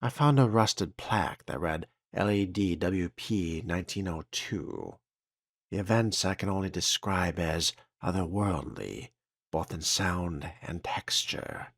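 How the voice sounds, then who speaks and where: Man reading as a deep, solemn 1950s foreman. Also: animated, vocal fry, breathy edge, no room sound, clean studio sound, exaggerated voice. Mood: grave, dutiful. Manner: grave, formal, measured. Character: solemn, uneasy 1950s male city waterworks foreman. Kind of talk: dramatic reading of a written log. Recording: music behind the voice.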